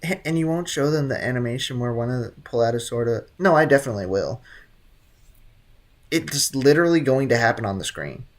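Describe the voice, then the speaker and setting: A man's voice: mid-range voice, expressive, brisk. A man hosting a casual game livestream, commenting and reading chat with blunt, teasing banter. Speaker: livestream host.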